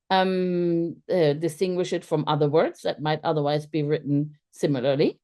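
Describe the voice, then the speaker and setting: A German-born woman, a teacher trainer, giving an online lecture in an unhurried, thoughtful way with many pauses and fillers. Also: fairly low pitch, darkish tone. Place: video call.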